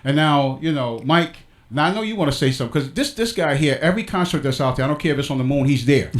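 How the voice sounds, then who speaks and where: A man's voice: fairly high pitch, lively intonation, fast talker. A man hosting a radio show in casual interview chat, jovial and bantering with his guests.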